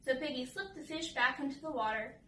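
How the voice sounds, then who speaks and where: A woman's voice: high voice, fairly quick, sharp tone. A woman reading aloud and talking casually as a children's storybook reader. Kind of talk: children's read-aloud narration and casual chat. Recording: roomy, distant mic with faint noise.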